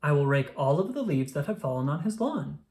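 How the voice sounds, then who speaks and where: A reader voicing a kind, calm male frog in a children's storybook, with a gentle, matter-of-fact manner. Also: medium pitch, brisk. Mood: cheerful, planning. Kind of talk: children's storybook read-aloud.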